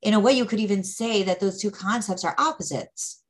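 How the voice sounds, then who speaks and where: A woman's voice: medium pitch, a little fast. A woman teaching Torah, explaining ideas patiently in a conversational way with frequent restarts. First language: English.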